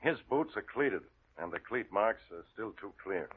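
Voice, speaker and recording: An actor playing a gruff, irritable police inspector who is easily needled, in 1940s radio style. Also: male voice, on the low side, lively intonation, normal speed, lightly acted. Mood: probing, puzzled. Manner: blunt, exasperated. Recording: muffled, noisy old radio recording.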